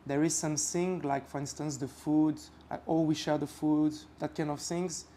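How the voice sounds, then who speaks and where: A young man from France with a French accent, chatting casually as a podcast guest and often pausing to search for English words. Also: fairly high pitch, little pitch movement, mellow, a little hiss or hum. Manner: halting, searches for English words with many fillers.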